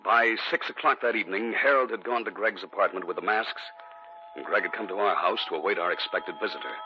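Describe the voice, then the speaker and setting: Earnest husband narrator, low, expressive, dull old radio sound with music. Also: male voice, fast. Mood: tense. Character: anxious husband Bert, narrating his ordeal. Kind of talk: 1950s radio drama narration and acting.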